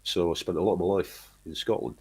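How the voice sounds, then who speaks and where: Very deep, husky man telling stories. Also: quick pace, mellow. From Manchester, England. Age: middle-aged. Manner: rambling storyteller, restarts often, chuckles. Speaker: guest, band singer. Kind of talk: casual interview chat.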